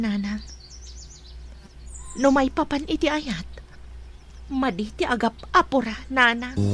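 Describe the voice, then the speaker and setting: A woman playing an earnest high-voiced young mother. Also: fairly bright tone, natural voice. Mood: calm, resolved. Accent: Ilocano. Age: young adult. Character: heartbroken, respectful young single mother and daughter. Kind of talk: Ilocano radio drama acting. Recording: faint noise behind the voice.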